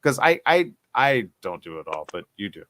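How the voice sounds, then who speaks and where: A man's voice: on the low side, sing-song, normal speed, fairly bright tone, slight background noise. A man hosting an entertainment interview, upbeat and encouraging, asking long, rambling questions. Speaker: interview host.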